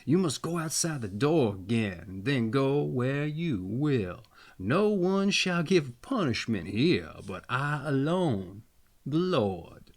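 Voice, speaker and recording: A man plays a stern, authoritative lord of heaven from a storybook. He speaks grandly and emphatically, scolding with big swings of pitch. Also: big pitch swings, takes it slowly.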